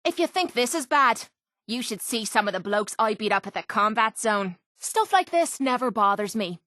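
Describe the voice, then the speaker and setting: A young female wasteland fighter from a video game, with an Irish accent and a fairly high voice. She is blunt and salty, with a wry, sarcastic edge. The recording is close and dry. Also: expressive, normal speed, pushed and bright, dry recording. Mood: wry, guarded. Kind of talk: video game character voice lines.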